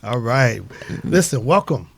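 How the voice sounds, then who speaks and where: A man's voice: mid-range voice, animated, a little slow. A man hosting a radio show, chatting in a casual, affirming interview style.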